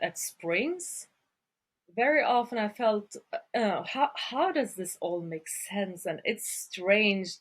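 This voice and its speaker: A woman from Sweden, speaking English as a guest on a podcast interview, thoughtful and hesitant with frequent fillers. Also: lowish voice. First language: Swedish. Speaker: guest, water surveyor.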